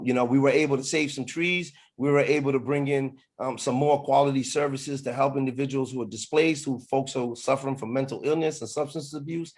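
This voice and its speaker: A middle-aged man gives a campaign speech over a video meeting. His voice sits at an average pitch. He speaks haltingly, pausing often and repeating phrases as he makes his case as a candidate. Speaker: political candidate.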